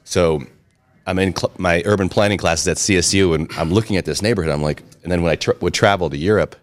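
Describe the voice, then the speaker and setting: A man's voice: low voice, quick pace. A middle-aged man, talking about his past in a chatty, self-deprecating way during an interview. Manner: chatty, self-deprecating humor, frequent restarts. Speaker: interview guest, restaurant and brewery owner. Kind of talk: casual storytelling interview.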